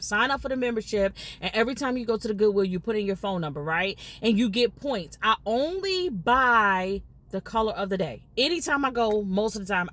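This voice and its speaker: An American woman in her late thirties chatting casually to viewers as a vlogger, telling stories in an animated way and re-enacting conversations. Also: mid-range voice. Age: late 30s. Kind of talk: casual storytelling chat to viewers.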